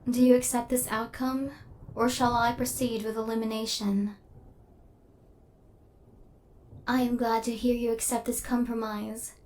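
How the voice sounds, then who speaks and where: A woman plays a female robot hunter in an intimate ASMR roleplay. Her voice sits fairly high and stays very level, with stiff, formal wording. She is coldly logical, yet possessive and tender toward her human target. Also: normal speed, close to an everyday voice. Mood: calm, protective. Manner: formal, clipped machine-like phrasing, deadpan.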